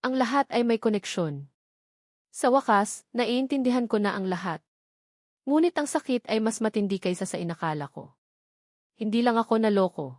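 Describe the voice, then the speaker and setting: A woman's voice: mid-range voice, darkish tone, no echo, clean studio sound. A woman with a Filipino accent narrating a dramatic first-person love story from a script, earnestly and in short dramatic phrases.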